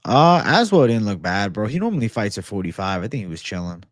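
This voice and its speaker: A young man talking casually on a livestream, his voice low and settled as he answers viewer messages. He is relaxed and slangy, calling everyone bro. The sound is close and dry, from a desk mic in a small home studio. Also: brisk, no room sound. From American. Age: young adult. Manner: laid-back, slangy, says bro a lot. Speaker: MMA livestream host. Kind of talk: casual livestream chat reading superchats. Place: home studio room with shelves. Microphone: desk microphone in front.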